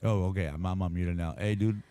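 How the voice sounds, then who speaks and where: Guy with a real low voice, rambling slangy karaoke chat, lots of stammers and swearing. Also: fairly even intonation, fairly quick, dark tone, clean tone, no echo, studio quality. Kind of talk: casual chat.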